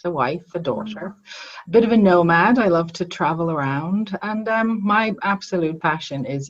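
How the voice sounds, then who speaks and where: A woman's voice: low-pitched, muffled recording. A woman, a guest psychologist and mindfulness teacher, explaining her work in a reflective, conversational way. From Ireland. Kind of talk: conversational podcast interview.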